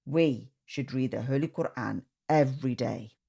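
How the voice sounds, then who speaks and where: Woman doing a storybook father, deeper voice, gentle, patient, steady. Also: unhurried, creaky, husky. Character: kind patient father teaching his children.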